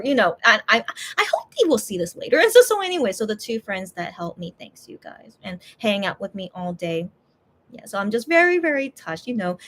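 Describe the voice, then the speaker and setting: A woman chatting casually with her viewers, rambling through her thoughts with many fillers and restarts. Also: medium pitch. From USA. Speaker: YouTuber, channel host.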